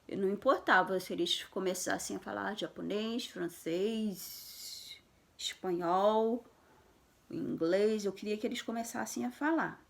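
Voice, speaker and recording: A woman's voice: medium pitch, creaky. A Brazilian woman in her thirties, a mother of twins, talking casually to camera in a conversational way, with restarts and tag questions. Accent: Brazilian Portuguese.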